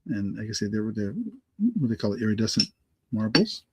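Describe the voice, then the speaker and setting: A man hosting a live online auction in a rambling, halting way, full of restarts and fillers, hoarse from allergies. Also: on the low side, no room sound. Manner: halting, lots of ums and restarts.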